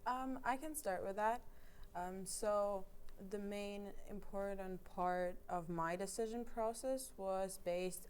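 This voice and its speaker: A woman's voice: mid-range voice, a little hiss or hum. A young woman from Russia, an engineering student, answering questions on a panel in an earnest, slightly hesitant way. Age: young adult. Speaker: engineering student, peer coach.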